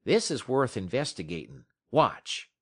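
A man voicing a blunt, rough frontier dog musher who talks in a folksy drawl and drops his g's. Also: medium pitch, big pitch swings, unhurried. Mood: astonished.

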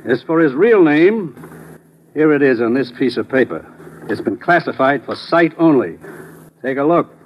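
An American actor playing a businesslike government commissioner in a 1950s radio drama, grave and dryly authoritative. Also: male voice, mid-range voice, big pitch swings, measured pace, slight background noise. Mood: urgent, serious. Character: stern, businesslike male government commissioner briefing his agent. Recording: dull old radio recording.

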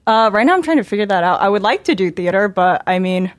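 A young woman with a deep voice, chatting on a podcast in a calm, matter-of-fact way. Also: fast, no echo. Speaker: podcast guest. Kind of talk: casual chat.

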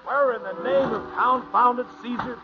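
A man's voice: very high-pitched, unhurried, music in the background. An American man playing an exasperated, grumbling middle-aged father in a 1950s radio sitcom. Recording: muffled old radio recording.

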